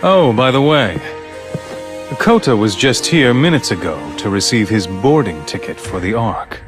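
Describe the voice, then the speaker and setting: An actor playing a calm, persuasive leader of a grand scheme in a video game, speaking in formal, measured, eloquent phrases. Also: male voice, medium pitch, music in the background, close to an everyday voice. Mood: smooth, confident. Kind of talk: dubbed video game cutscene acting.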